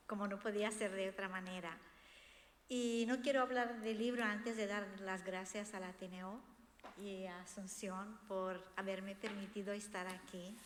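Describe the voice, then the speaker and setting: A woman from Romania, a native Romanian speaker, giving an earnest, expressive personal talk as an author to an audience. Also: medium pitch. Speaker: author presenting her book. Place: hall of a cultural institution, before a seated audience. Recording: echoey hall with long reverb.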